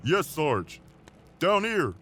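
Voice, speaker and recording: A man's voice: a little high, sing-song, very slow, pushed and bright, soft background music, full character voice. A man acting as a dutiful, concerned Marine squadmate in a fan-made audio drama.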